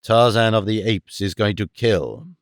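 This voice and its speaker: Man doing a low menacing jungle avenger. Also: a little slow, clear, resonant tone, no echo, studio quality, lightly acted.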